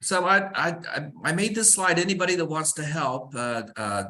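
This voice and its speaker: A man's voice: fairly high pitch, sing-song, fast talker, sharp tone. A man hosting a live talk on a video call, who often stammers and repeats words. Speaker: host, executive director.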